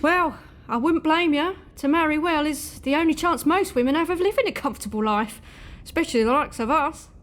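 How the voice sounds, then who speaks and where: Woman acting a high-pitched, cheeky servant. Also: a little fast, natural voice. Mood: probing, frank. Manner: frank, teasing, probing. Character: brisk, cheeky, shrewd female servant, probing and frank. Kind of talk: audio drama acting.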